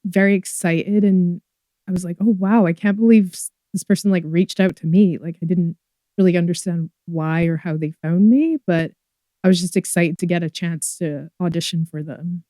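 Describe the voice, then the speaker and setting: A woman's voice: fairly low pitch, warm tone, dry recording, studio quality. A young woman from Scotland with a mixed Scottish and Canadian accent, chatting as an actress guest, giggly and full of likes and ums. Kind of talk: casual interview chat.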